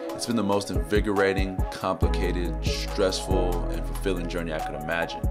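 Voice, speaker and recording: A man hosting a casual interview show, talking in relaxed slang with hype in his voice. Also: low-pitched, a little fast, loud music behind the voice. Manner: hyped, laid-back slang talker. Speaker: podcast host.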